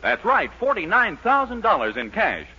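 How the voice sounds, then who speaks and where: A male announcer from 1940s radio delivers scripted copy as an emphatic pitch. His fairly high voice swings dramatically up and down. The recording is muffled and dated, with music behind him. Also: huge pitch swings, normal speed, sharp tone, breathy edge.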